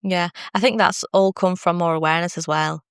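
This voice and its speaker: A woman's voice: fairly low pitch, steady tone, brisk, smooth, no echo, studio quality. A young woman with a British accent talking casually and earnestly as a podcast co-host, in a reflective way. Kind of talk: casual conversation.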